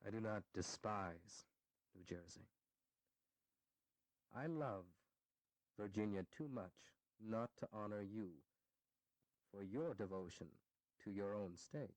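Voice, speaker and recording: An actor playing a courteous, conciliatory Virginian statesman in a historical audio drama, deliberate and rhetorical. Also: lowish voice, darkish tone, dry recording, rough recording. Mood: solemn.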